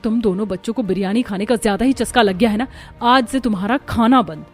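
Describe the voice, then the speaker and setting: A woman's voice: a little high, fast talker, soft background music, lightly acted. A woman voicing an earnest, dutiful young daughter-in-law of a poor family in a cartoon story. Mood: angry. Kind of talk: Hindi cartoon story voice acting.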